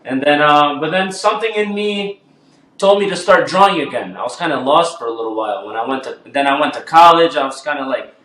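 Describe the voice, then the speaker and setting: A man's voice: fairly high pitch, animated, brisk, a bit bright, echoey room, rough recording. A man in his twenties from Albania, telling his life story in a laid-back podcast chat. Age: 20s. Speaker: guest artist.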